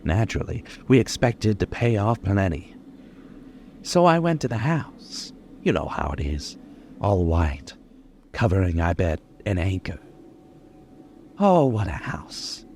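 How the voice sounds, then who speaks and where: A man's voice: low voice, very animated, gravelly, a little mellow, slight background noise, full character voice. A man playing a boastful, menacing young killer in an old-time radio crime drama, dwelling on his words. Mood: bitter, narrating. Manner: menacing, gloating, dwelling slowly on details. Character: boastful, unhinged young male killer holding police at gunpoint.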